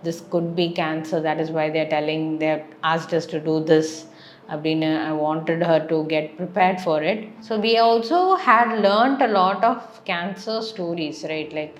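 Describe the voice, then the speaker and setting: A woman's voice: sits low, brisk, roomy sound, slight background noise. A young woman from Chennai with a South Indian accent, speaking candidly and reflectively as she tells a personal story in a casual vlog. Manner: switches between English and Tamil, candid and reflective. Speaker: vlogger.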